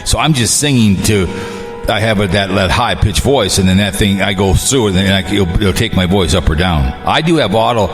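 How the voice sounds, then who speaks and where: A man's voice: fairly low pitch, fairly quick, in a large hall, music in the background. A man in casual group chat, hosting an online show in a rambling way, often repeating and stammering over his phrases. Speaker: online show host and comic voice performer.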